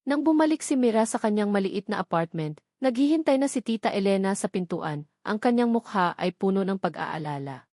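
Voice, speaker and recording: A woman narrates a scripted romantic drama in a medium-pitched voice. The recording is very dry and close, with the measured delivery of a story reader. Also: animated, a little mellow, no room sound.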